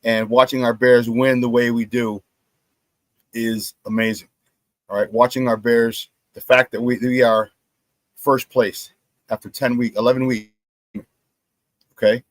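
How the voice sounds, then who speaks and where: Brief: middle-aged male sports talk host, hoarse, dry close mic, animated and repetitive. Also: medium pitch, measured pace, long pauses, no echo. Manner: animated storyteller who repeats phrases and laughs at his own stories. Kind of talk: casual sports talk monologue.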